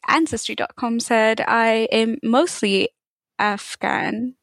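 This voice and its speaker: A woman's voice: a little high, fairly even intonation, takes it slowly, vocal fry, a bit bright, no room sound. Thoughtful woman, dry close sound. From Tanzania. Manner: thoughtful, with frequent fillers. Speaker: guest. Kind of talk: conversational interview.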